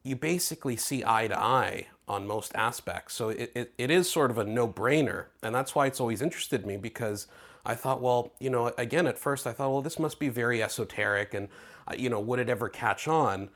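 A man's voice: mid-range voice, fairly quick, a bit bright. A man from Greece interviewing a guest, chatty and frank, thinking aloud before posing questions. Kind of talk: conversational interview.

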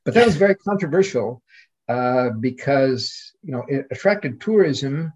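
Husky male scholar on muffled audio. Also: medium pitch, expressive, measured pace, a little mellow. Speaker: podcast guest, literary scholar. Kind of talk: scholarly conversation.

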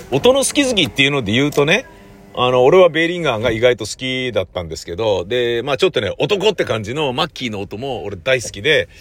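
A middle-aged man in a casual, rambling solo chat, blunt and slangy, acting out his own reactions as he tells stories. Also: medium pitch. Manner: blunt, slangy, self-deprecating. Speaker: audio drama producer and recordist.

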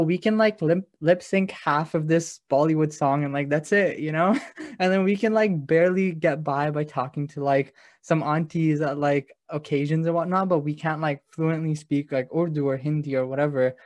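A man's voice: fairly high pitch, fairly even intonation, normal speed, a little mellow, no room sound, studio quality. A man co-hosting a casual podcast, rambling with constant uses of "like". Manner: rambling, heavy use of 'like'. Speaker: podcast co-host.

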